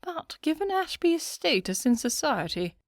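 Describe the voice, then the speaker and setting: A woman voicing a fussy, gushing older society hostess who talks in a simpering, excitable way. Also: unhurried, no room sound, clean studio sound, full character voice. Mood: insistent. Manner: simpering, excitable, high-pitched.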